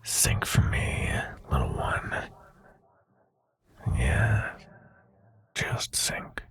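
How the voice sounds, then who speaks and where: A sinister, seductive prince of nightmares murmurs in an extremely deep voice, heavily fried and gravelly. His delivery is slow, breathy and silky, with menacing command. It is an intimate ASMR roleplay performance. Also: big pitch swings, a bit bright, exaggerated voice. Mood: hypnotic, coaxing. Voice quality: breathy, gravelly half-whisper.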